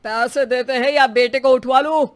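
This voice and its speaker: A haughty middle-aged father of the groom acted out by a woman in a cartoon story. The voice is on the high side for the role, demanding and threatening, full of greed. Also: fairly even intonation, normal speed, natural voice. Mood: angry, threatening.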